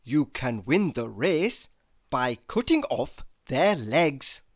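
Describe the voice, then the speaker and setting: Very slow, animated man reading quotes. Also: mid-range voice. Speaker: reader. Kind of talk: reading humorous quotes aloud.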